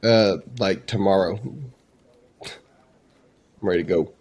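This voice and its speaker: A man's voice: low voice, steady tone, slow, a little mellow, dull audio. A man giving a casual product demo in an informal, conversational way. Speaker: presenter demonstrating AI voice assistants.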